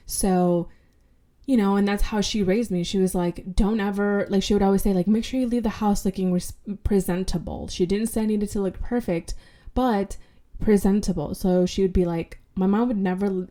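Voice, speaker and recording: A woman's voice: medium pitch, fairly even intonation, moderate pace, dark tone. A woman hosting her own podcast, still congested from an illness, talking candidly and bluntly with casual swearing and many fillers. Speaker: podcast host. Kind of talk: casual solo podcast monologue.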